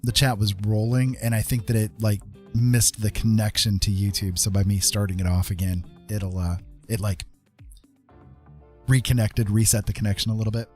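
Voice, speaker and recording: A man with a fairly low voice talks casually through an art livestream. He rambles and hesitates, working things out aloud as he goes. Faint music plays behind him. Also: fairly even intonation, moderate pace, a little mellow. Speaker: streamer giving art tutorials. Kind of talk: casual livestream chat while painting.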